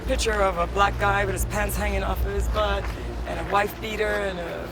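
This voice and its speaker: Animated woman over loud music. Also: brisk, creaky. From Nassau, Bahamas. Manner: animated storytelling, rising high when acting out her past thoughts. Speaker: interview guest, diversity director. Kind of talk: conversational interview. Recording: loud music playing behind the voice.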